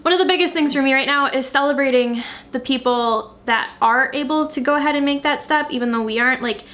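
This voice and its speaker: A woman in her late twenties talks through plans in a casual home vlog, earnest and heartfelt, with a ready giggle. Her voice is bright and a touch high, and she speaks from their apartment living room. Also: fast. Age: 20s. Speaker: vlogger. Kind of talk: casual couple vlog chat.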